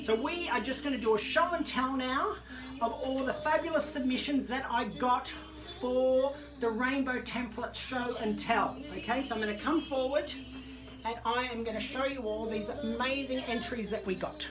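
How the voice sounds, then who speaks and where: A woman's voice: high-pitched, moderate pace, poor audio. A woman hosting an online story time, chatting to viewers in a theatrical, gushing way. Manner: theatrical, gushing, addresses audience as darlings. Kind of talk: chatty show and tell to viewers. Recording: music playing in the background. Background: background disco music.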